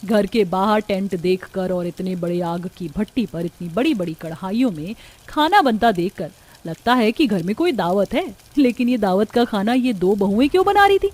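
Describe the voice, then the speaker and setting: A woman's voice: medium pitch, animated, normal speed, a little mellow. A woman narrating a scripted cartoon family story.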